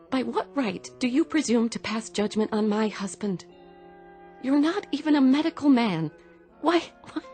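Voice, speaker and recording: An actress playing an indignant doctor's wife in a radio drama, fiercely defending her husband with pleading, accusatory emphasis. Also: on the high side. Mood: outraged, accusing.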